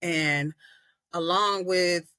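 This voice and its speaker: A woman from Atlanta, a podcast guest earnestly telling her personal story with frequent pauses and "um"s. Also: lowish voice, steady tone, sharp tone, clean tone, no room sound. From Atlanta, Georgia, US. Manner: earnest, reflective, many "um"s. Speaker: podcast guest, peer specialist.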